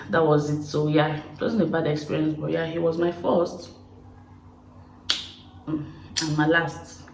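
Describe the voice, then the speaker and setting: A young woman from Nigeria with an Igbo accent, casually telling stories to camera in an expressive, chatty way. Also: sits low, reverberant, slight background noise, rough recording. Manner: chatty, expressive, laughing storyteller. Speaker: YouTuber. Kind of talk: casual confessional chat to camera.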